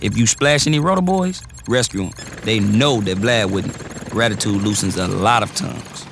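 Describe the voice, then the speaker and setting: A man's voice: on the low side, normal speed, creaky, noisy background. An actor playing a casual, slangy male tactical adviser who trades wisecracks while giving advice in a game cutscene. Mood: wry. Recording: music bed under the voice.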